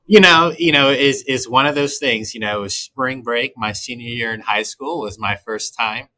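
A man's voice: medium pitch, moderate pace, sharp tone. A middle-aged man from Texas, raised in Michigan, speaking as an interview guest over a video call, earnest and polite. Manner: polite, earnest, laughs easily.

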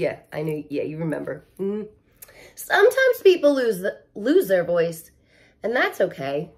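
A woman in her thirties reading a children's picture book aloud like a teacher, expressive, with chatty asides straight to young listeners. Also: fairly low pitch. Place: living room at home, indoors.

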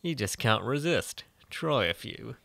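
A man's voice: mid-range voice, animated, unhurried, gravelly, sharp tone, no echo, full character voice. A man voicing an eager, doting young boyfriend in a comic dub. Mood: doting, coaxing.

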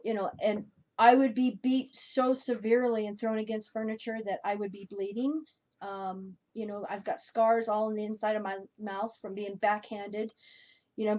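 A middle-aged woman telling a personal story softly and hesitantly, with many fillers, recorded on a home webcam. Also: mid-range voice. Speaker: co-host. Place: home room, indoors. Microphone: webcam video call framing.

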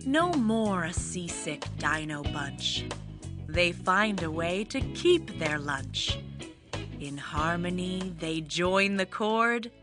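A woman reading a rhyming children's picture book aloud in an expressive, measured manner. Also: on the low side, music in the background. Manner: expressive, measured rhyming delivery with acted exclamations. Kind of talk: read-aloud children's story narration.

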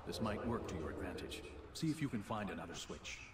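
Echoing male voice, animated, measured elf guide, cautioning, fantasy video game. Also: low-pitched, noisy background. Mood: measured concern.

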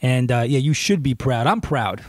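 A man's voice: medium pitch, lively intonation, normal speed, no room sound. A man with a New Jersey accent co-hosting a casual podcast, bantering playfully with his partner. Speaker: podcast co-host.

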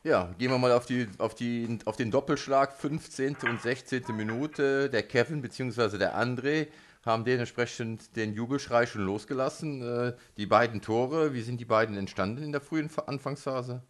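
A man's voice: medium pitch, normal speed, a little hiss or hum. A man hosting a local radio sports interview, putting questions as the interviewer.

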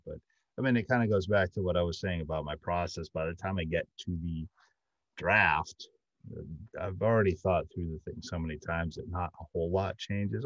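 A man's voice: sits low, dry recording. A middle-aged man, a writer, talking casually as a panelist on a video call. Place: indoor room, video call. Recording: dull lo-fi video call audio.

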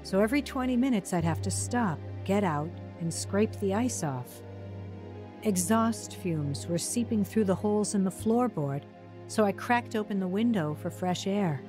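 A woman's voice: mid-range voice, animated, normal speed, over music, close to an everyday voice. An actress narrating and playing a troubled young mother in a radio drama, close to tears and emotional. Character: troubled young mother leaving her husband. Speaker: narrator and lead character.

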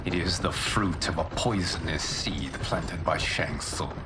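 An actor playing a calm, noble protector speaking in a measured way. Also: male voice, deep voice, noisy background.

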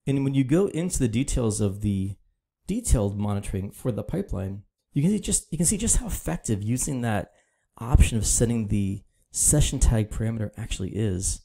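A man presenting a casual screen-recorded software tutorial, walking through steps in a steady, explanatory way. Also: fairly low pitch, fairly quick, gravelly. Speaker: product demo presenter.

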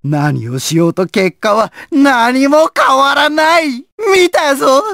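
An actor playing a sadistic, deranged surgeon villain in a video game, theatrical, moving between silky menace and manic screaming. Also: male voice, high voice, big pitch swings, unhurried, fairly bright tone, dry recording, studio quality, heavily acted. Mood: smug, calculating. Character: sadistic, deranged male villain surgeon, gleefully obsessed with despair. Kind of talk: Japanese video game voice acting.

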